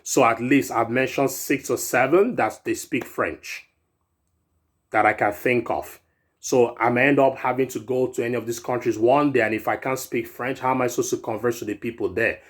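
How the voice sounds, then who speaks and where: A man's voice: medium pitch, fairly even intonation, moderate pace. A man in his thirties from Europe, a fitness vlogger giving a chatty motivational talk that often ends with "right?". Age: 30s. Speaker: fitness YouTuber. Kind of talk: casual motivational vlog talk.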